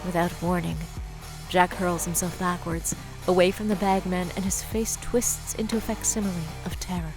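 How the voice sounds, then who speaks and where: Female narrator, lower pitch, dramatic scripted storytelling, prominent music underneath. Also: flat delivery, moderate pace, low-quality recording.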